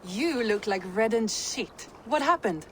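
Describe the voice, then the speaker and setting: Higher-voiced, wry Viking noblewoman. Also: animated, unhurried, a little hiss or hum. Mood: teasing. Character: dry, composed Viking noblewoman, teasing. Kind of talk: video game cutscene acting.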